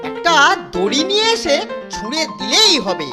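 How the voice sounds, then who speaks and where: A woman's voice: sits high, very animated, moderate pace. Cheeky young boy. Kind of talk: animated cartoon dubbing. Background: cartoon background music.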